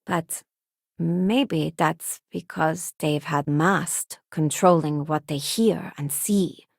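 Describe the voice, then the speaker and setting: A woman's voice: deep voice, slow, creaky, no echo, clean studio sound, exaggerated voice. A woman playing a principled, self-assured working-class spacefarer who serves as a crew companion in a video game. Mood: earnest, critical. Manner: earnest, plain-spoken, self-assured.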